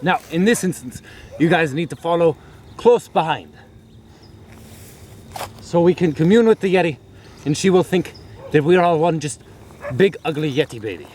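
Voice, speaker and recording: A man playing a high-pitched, excitable nature-show host. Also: normal speed, pauses often, lightly acted. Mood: hushed, instructive. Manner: theatrical, exclamatory, squabbling. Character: excitable, indignant male nature-show survival host with fake accent. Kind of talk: mock nature documentary skit. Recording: noisy outdoor amateur recording.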